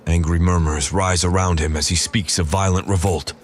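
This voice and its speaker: A man voicing a loyal, earnest royal officer who gravely narrates his investigation in an audio drama. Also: low voice, steady tone, moderate pace, a little hiss or hum, natural voice. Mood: earnest, troubled. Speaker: writer and performer of the audio drama. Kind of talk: scripted first-person audio drama narration.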